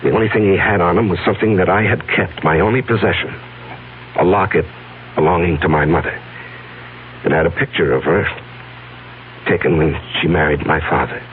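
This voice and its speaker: A narrow, faintly hissy old radio broadcast carries a low male voice. It is a man acting an anguished fugitive with a secret past, earnest and halting, pausing heavily in classic radio drama style. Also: natural voice. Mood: troubled, confessing. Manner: halting, earnest, heavy pauses. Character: anguished fugitive man confessing a hidden past to his fiancée.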